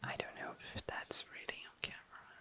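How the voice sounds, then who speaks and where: An ASMR host chatting casually to viewers in a hushed, breathy, unhurried whisper. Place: tabletop indoors.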